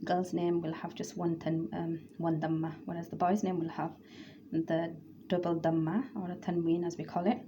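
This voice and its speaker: Vrouw met lage, zachte stem die geduldig Arabisch uitlegt. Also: female voice, fairly low pitch, little pitch movement, normal speed, mellow, muffled recording, a little hiss or hum. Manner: repetitive, patient, reads out word lists. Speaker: Arabic teacher. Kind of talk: explanatory grammar lesson.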